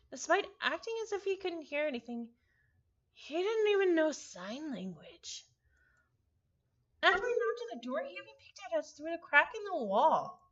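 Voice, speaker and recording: Woman, very high-pitched and bright, live story reader who trips on words and repeats them; lo-fi sound. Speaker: visual novel reader, streamer. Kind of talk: live read-aloud of a story with casual asides.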